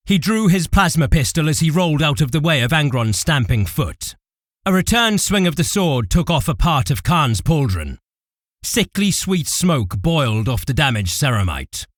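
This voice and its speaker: A man's voice: a little high, dry recording, studio quality. A man giving intense, theatrical scripted narration for an audio drama.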